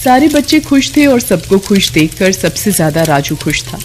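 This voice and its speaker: A woman's voice: medium pitch, sing-song, moderate pace, faint music behind. A woman reading scripted narration for a children's moral story.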